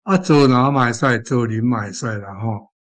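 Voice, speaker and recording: A Taiwanese man with a medium-pitched voice teaches language. He explains word choices and demonstrates phrases piece by piece. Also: normal speed. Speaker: language teacher giving model translations.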